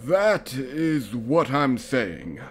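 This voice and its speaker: A man voicing a solemn old ocean spirit king in a cartoon parody, deliberate and dryly wise. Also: medium pitch, very animated, a little hiss or hum, full character voice.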